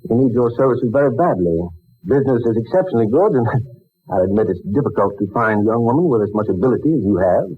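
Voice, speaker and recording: Husky old-fashioned elderly boss, tinny radio. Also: male voice, mid-range voice, lively intonation. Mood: genial. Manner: halting, chuckling, fussily polite. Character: courteous, old-fashioned elderly male office boss, hard of hearing. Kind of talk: 1940s radio acting. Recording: tinny, band-limited 1940s radio recording.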